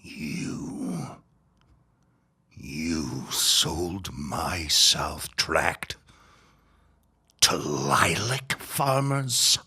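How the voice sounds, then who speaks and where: An actor playing a dying, bitter old reverend in a period audio drama, raspy and strained, grandly accusing. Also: male voice, very deep voice, expressive, heavily acted. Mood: weak, outraged. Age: older. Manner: slow, forceful, grandly accusing. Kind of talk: period radio drama acting.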